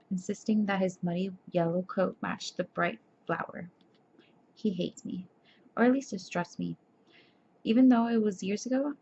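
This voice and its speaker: A tired young woman talks softly and half-whispers for ASMR, with a gravelly edge and a mellow tone. She trips over words and backs up to fix them as she reads. Dull lo-fi webcam audio with faint hiss. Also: mid-range voice. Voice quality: soft, breathy. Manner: stumbles and corrects herself while reading. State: tired, sleepy. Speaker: ASMR creator. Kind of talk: soft-spoken ASMR chat and reading aloud.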